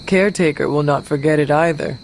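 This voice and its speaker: A woman playing a stoic, earnest young hero in a video game, with a formal, measured way of speaking. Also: sits low, slight background noise. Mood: calm. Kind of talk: dramatic video game acting.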